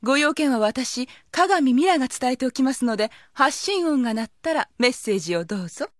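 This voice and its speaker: A proud high school girl from an anime audio drama, the eldest sister who scolds and looks after everyone. Her voice is high and swings widely, with a commanding, self-assured delivery. The recording is dry and close. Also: animated, fairly quick, dry recording. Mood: proud, aloof.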